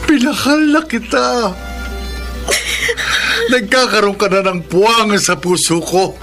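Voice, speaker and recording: A high-voiced young Filipino husband, wounded and bitter, pours out accusations and pleas in radio drama style. Prominent music plays underneath. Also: male voice, takes it slowly, fairly bright tone, exaggerated voice. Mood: bitter, anguished. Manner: emotional, accusing, pleading. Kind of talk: Philippine radio drama acting.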